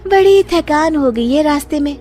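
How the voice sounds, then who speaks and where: A woman acts a cheeky young daughter-in-law from a cartoon tale. Her voice is high and swings about excitedly, full of playful, scheming glee. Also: normal speed, soft background music. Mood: gleefully scheming. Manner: exclamatory, gleeful. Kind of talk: cartoon story acting.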